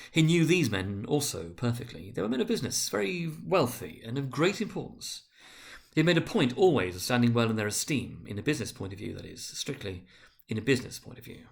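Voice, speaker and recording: A man narrating a story dramatically, with a grave, deliberate and theatrical delivery. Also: mid-range voice, vocal fry.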